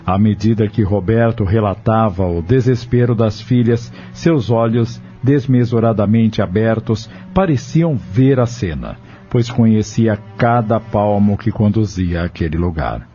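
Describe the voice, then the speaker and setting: Low, mellow male audio novel narrator. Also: a little slow. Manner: solemn, literary. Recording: dull lo-fi audio with music behind. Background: music.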